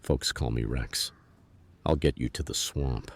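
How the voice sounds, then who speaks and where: A man playing a gruff, cocky, teasing airboat captain with a gravelly voice in a radio play. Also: very deep voice, unhurried, full character voice. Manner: laconic, teasing, folksy.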